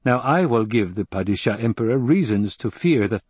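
A proud young nobleman with an imperious, forceful way of speaking, as a male narrator puts him on. The voice is lifted and louder than the narration, with a husky edge, close and dry. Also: fairly low pitch, lively intonation, moderate pace, dry recording. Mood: commanding.

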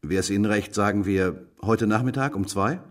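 An actor playing a brisk, persistent police detective in a German radio drama, with a businesslike, probing manner. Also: male voice, on the low side, background noise, close to an everyday voice.

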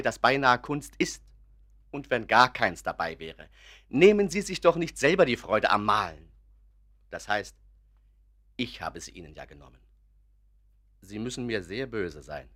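A man's voice: medium pitch, a bit bright. A man playing an earnest, principled doctor in a German radio play, insistent and reasoning in his talk. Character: earnest, principled male doctor, sceptical of illusions.

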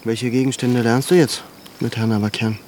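An actor in a radio drama playing a pensive, gently strict scholarly father in his thirties, with a gravelly voice. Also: medium pitch, fairly even intonation, slight background noise, lightly acted. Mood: fatherly, probing. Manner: slow, halting, introspective.

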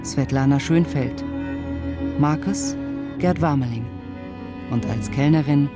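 A woman announcing radio play credits in a scripted, measured reading of short separate phrases. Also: a bass voice. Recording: music bed under the voice.

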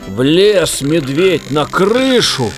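Guy telling a kids fairy tale, very expressive, takes his time, music behind. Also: a little high. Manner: theatrical, measured storytelling. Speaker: storyteller narrator. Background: children's music.

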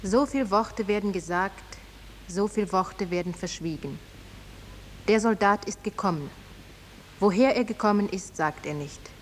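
An actor playing a solemn ballad narrator who declaims a tale in a radio drama. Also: male voice, high-pitched, measured pace. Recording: long reverb, faint hiss, old radio recording.

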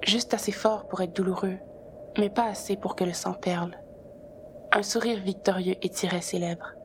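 A woman narrates a written story as its author, in a medium-pitched voice. The recording has clear noise behind her words.

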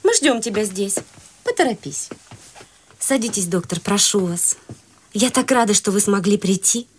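A woman's voice: mid-range voice, lively intonation, normal speed, husky. An actress playing a fussy, bossy wealthy widowed mother in a radio play.

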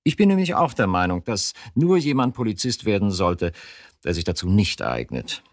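A man's voice: lowish voice, very animated, normal speed, dry recording. An actor playing an exasperated, dutiful male police detective in a German radio drama, insistent and pedantic in manner. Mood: irritated, defensive.

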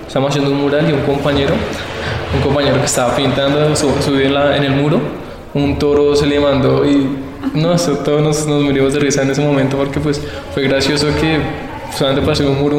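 A young man with a Colombian accent telling casual anecdotes in a stumbling way. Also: mid-range voice. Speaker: interviewed former student. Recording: extremely echoey, noisy room.

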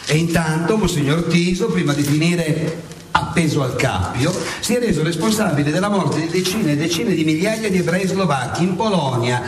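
An actor playing a relentless, sardonic prosecutor in a satirical mock trial, declaiming insistently on stage. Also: male voice, a little high, animated, normal speed, roomy sound, distant mic, slight background noise, close to an everyday voice. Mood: accusing. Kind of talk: theatrical courtroom monologue in radio theatre.

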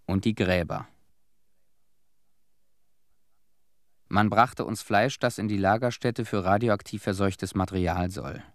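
A man acting a disillusioned young war-weary cleanup veteran in a radio drama. His voice sits low and is recorded dry and close. He gives his testimony soberly, in short clipped sentences. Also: normal speed, no room sound, lightly acted. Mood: matter-of-fact, wry. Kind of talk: radio play monologue, testimony.

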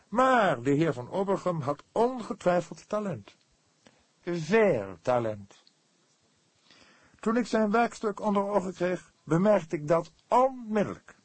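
Man acting a pompous male publisher, high swooping pitch, affected and emphatic, formal diction, audiobook character. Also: no room sound. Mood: emphatic, admiring. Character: pompous, precise, easily indignant male book publisher.

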